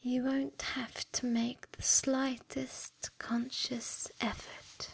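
A woman in a scripted hypnosis ASMR style, mostly whispering breathily in a hushed, deliberate, soothing yet commanding manner. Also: high-pitched, flat delivery, vocal fry, slightly rough, no echo. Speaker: erotic hypnosis audio performer.

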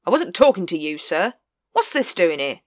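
Woman playing a fed-up male captain, loud, snappish, weary, dry close sound. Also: fairly low pitch, sing-song, moderate pace, dry recording. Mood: exasperated. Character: weary exasperated man, captain of the watch.

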